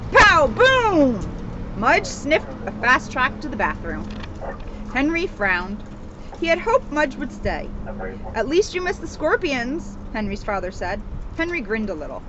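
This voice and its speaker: A woman's voice: high voice, highs cut off, background noise. A woman police officer speaking to her community in a storybook read-aloud and informal style. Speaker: police officer reading a children's book to the community.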